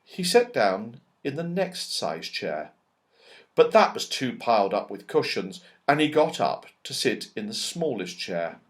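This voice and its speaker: A man's voice: medium pitch, very animated, a little slow. A man narrating a children's story expressively, with dramatic and emphatic phrasing. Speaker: audiobook narrator.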